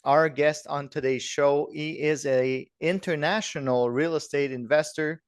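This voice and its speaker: A man's voice: fairly high pitch, fairly even intonation, a little slow, smooth, dry recording, clean studio sound. A man hosting a podcast, upbeat and direct as he asks questions. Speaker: podcast host. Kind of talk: podcast interview.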